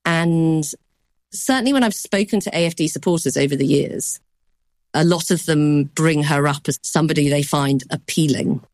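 A woman news correspondent giving a measured explanation on a news program, softening points with qualifiers. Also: sits low, dry recording.

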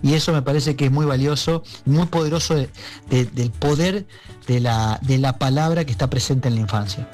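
A man with an Argentine accent speaking as a musician guest in an interview, reflective and halting, often checking for agreement. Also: mid-range voice, a little mellow, faint music behind. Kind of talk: conversational interview.

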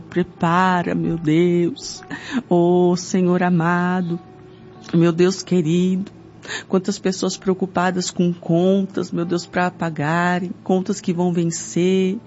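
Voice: mid-range voice, fairly even intonation, slow pace, darkish tone. A Brazilian prayer leader pleading fervently in an extemporaneous evangelical prayer, punctuated by repeated invocations. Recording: dull lo-fi sound with music behind. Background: music.

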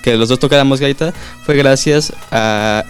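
A man's voice: medium pitch, moderate pace, faint music behind. A young man in a bagpipe band, speaking plainly and hesitantly. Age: young adult.

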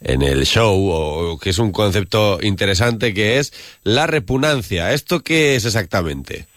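Low-voiced male interviewer, dry sound. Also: lively intonation, a bit bright, no room sound. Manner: measured, thoughtful, many hesitant "eh" fillers. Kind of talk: interview questions.

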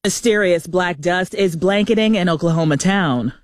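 News anchor guy reading headlines, kinda high voice, dry studio sound. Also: normal speed, no room sound. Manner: crisp headline delivery. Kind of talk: radio news read.

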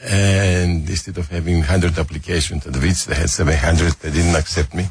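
A man's voice: sits low, steady tone, normal speed, slightly rough, no room sound. An older man in his seventies with a Greek accent, chatting in an interview with rambling, hesitant, self-deprecating stories. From Greece. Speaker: restaurant owner guest. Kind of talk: casual interview chat.

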